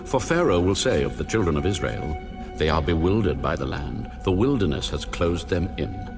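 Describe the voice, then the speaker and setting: A deep, solemn God. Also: male voice, expressive, over music. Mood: solemn, resolute. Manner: slow, weighty, authoritative pronouncements. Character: God, solemn commanding male divine voice. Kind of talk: dramatized audio Bible acting.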